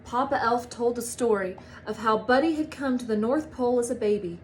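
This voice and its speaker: High-voiced woman reading a storybook aloud. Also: brisk, a little hiss or hum. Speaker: teacher reading a story to children. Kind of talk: expressive read-aloud storytelling.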